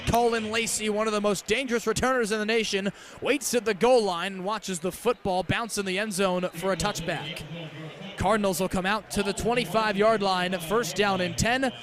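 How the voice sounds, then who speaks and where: High-pitched young male football play-by-play announcer. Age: young adult. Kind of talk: live radio American football commentary. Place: stadium game broadcast. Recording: live outdoor broadcast with background noise.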